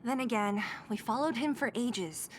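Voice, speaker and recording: An actress playing a curious young adventurer in a game, talking thoughtfully and a little hesitantly. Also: female voice, on the high side, moderate pace, faint music behind, close to an everyday voice. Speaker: game character exploring.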